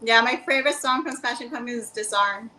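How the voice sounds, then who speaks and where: Bright, high-voiced woman in her thirties. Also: fast. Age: 30s. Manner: enthusiastic, says "like" a lot. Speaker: podcast guest. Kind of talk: casual group chat.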